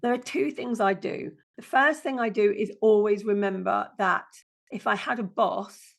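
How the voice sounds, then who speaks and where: A woman guest with a croaky, chesty voice, speaking bluntly and plainly in a casual interview. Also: mid-range voice, normal speed. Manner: blunt, down-to-earth. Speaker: guest, passive income strategist.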